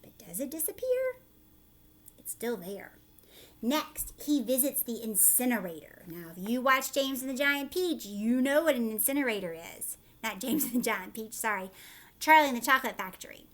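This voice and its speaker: A woman reads a picture book aloud as a teacher would to young children. Her voice is high and swings widely in pitch. She breaks off for simple explanations and questions to the listener. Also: sing-song, moderate pace, fairly bright tone.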